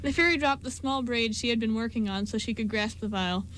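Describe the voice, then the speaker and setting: Woman, story narrator, brisk pace, scripted amateur radio drama, faint background noise. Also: a little high, a bit bright, poor audio.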